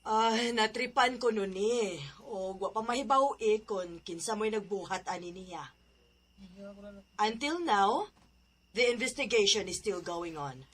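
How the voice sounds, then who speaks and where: Woman acting a serious schoolteacher, mid-pitched. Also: unhurried, close to an everyday voice. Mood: grave, concerned. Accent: Cebuano Filipino. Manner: switches between English and Cebuano, announcing. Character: serious, concerned female schoolteacher addressing class. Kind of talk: Filipino radio drama acting.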